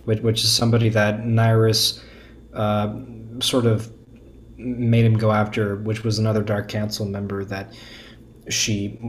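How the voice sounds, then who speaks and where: A man's voice: on the low side, a little hiss or hum. A man speaking as a podcast guest in casual, enthusiastic discussion, stumbling and repeating words as he starts.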